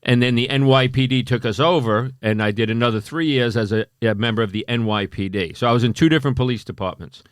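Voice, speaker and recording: A middle-aged man with a Long Island New York accent, a guest comedian telling stories in a casual podcast chat with deadpan punchlines. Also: mid-range voice, fast, hardly any pauses, smooth, dry recording, studio quality. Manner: wry storyteller, deadpan punchlines. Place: living in Los Angeles for 24 years.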